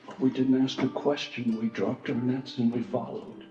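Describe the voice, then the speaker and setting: Casting: a man to play an earnest, wounded fisherman disciple for Passion drama; halting, emotional, mid-pitched, echoing hall. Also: little pitch movement, close to an everyday voice. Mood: wistful reminiscence. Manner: halting, emotional storytelling with swells and hushed drops. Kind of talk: church Passion play monologue. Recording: echoey church hall, dull sound, music.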